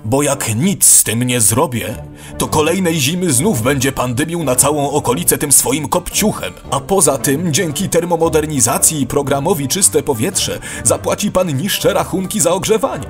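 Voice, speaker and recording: An actor playing a patient but exasperated, practical neighbour who explains things emphatically in a radio play. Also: male voice, a little high, animated, normal speed, soft background music, close to an everyday voice. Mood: impatient. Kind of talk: scripted radio play dialogue.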